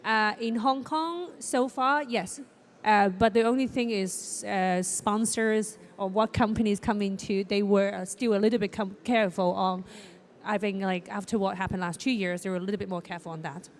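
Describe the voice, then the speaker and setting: A woman speaks on a conference panel in a halting way, often repeating words as she searches for them. Her pitch is mid-range. The sound carries the long echo of a large hall. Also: fairly even intonation. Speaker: panelist, art fair organizer. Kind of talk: panel discussion. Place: conference stage.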